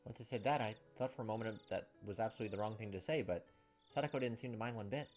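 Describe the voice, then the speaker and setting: A man's voice: on the low side, racing, a little mellow. A man hosting a gaming video, reading visual novel text aloud and adding casual commentary. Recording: game music behind the voice. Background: video game music.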